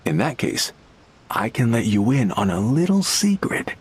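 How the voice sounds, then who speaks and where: A mid-pitched male voice with lively swings, a smug game client who leans in to share gossip and scorns rivals. Soft game music sits behind him. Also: unhurried, breathy edge. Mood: confiding. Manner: smug, confiding. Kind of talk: game voice acting.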